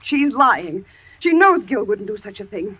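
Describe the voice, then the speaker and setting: An actress playing a suspected sister-in-law who protests anxiously, in old-time radio drama acting. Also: female voice, medium pitch, very animated, unhurried, slightly rough. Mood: protesting. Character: defiant sister-in-law under suspicion. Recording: dull old radio recording.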